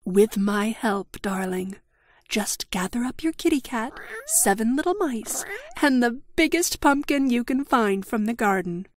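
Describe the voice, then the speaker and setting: A woman playing a gentle, motherly fairy godmother in a children's fairy tale, soothing and affectionate. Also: a little high, lively intonation, a little slow. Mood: kindly, reassuring.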